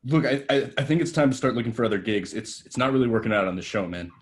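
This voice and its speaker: A man's voice: medium pitch, lively intonation, fast, close to an everyday voice. An actor reading a volatile, washed-up young male TV star in a table read, profane, ranting and bitter. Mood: pleading.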